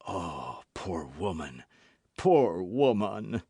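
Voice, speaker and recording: A brooding, sorrowful older man who murmurs in a low, broken voice, as the reader plays him. It sits below the reader's usual pitch, and the recording is dry and close, as in a storybook reading. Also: very animated, measured pace, no room sound, heavily acted. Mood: grave, broken.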